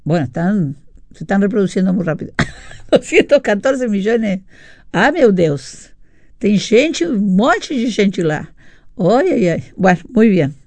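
A woman's voice: medium pitch, big pitch swings, unhurried, darkish tone, no echo. Chatty Uruguayan woman radio host. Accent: Uruguayan Spanish. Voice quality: chatty, laughs often, drifts into asides. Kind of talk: casual radio chat reading listener messages.

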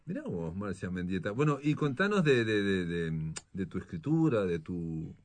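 Male interviewer, Uruguayan accent, fairly low voice, chatty and halting delivery. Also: big pitch swings. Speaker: radio show host. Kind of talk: conversational literary interview.

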